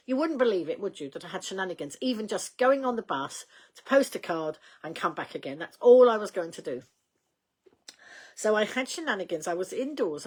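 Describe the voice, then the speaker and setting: An older woman hosting a casual livestream, rambling in a chatty way with many ums and small laughs, her voice going slightly croaky. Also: lowish voice. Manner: chatty, rambling, reads out viewer comments, frequent ums and laughs. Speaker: livestream host.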